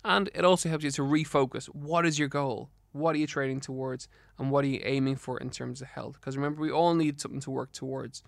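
A man's voice: mid-range voice, fairly even intonation, fast talker, gravelly, few pauses, no room sound, studio quality. An Irish man with a slight lisp hosting a health podcast solo, giving advice in an earnest, encouraging, instructive way. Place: studio.

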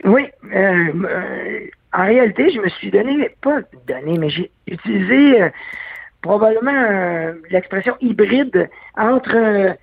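A man's voice: high voice, lively intonation, measured pace, gravelly, no room sound. A man with a Québécois French accent, chatting as an interview guest, hesitant with many fillers and restarts. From Quebec. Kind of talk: casual interview chat. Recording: dry tinny phone line.

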